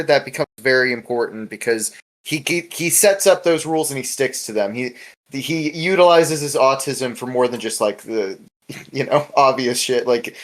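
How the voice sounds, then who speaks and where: A man hosting a casual podcast chat, talking in a rambling, informal way with lots of filler words. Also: mid-range voice. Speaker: podcast host. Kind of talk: casual podcast discussion of a webcomic.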